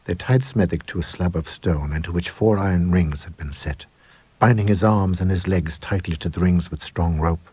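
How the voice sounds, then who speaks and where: A man narrates a supernatural tale in a low, heavily husky voice. His delivery is measured and grave, shaped like a dramatic audiobook reading. The sound is close and dry. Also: warm tone, dry recording. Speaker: story reader. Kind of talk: dramatic first-person ghost story reading.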